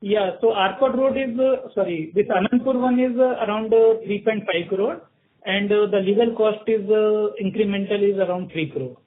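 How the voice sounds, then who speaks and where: A man's voice: high voice, moderate pace. A man with an Indian accent, a finance executive explaining company costs to an analyst on a conference call. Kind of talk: answering analyst questions on an earnings conference call. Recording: tinny phone conference line.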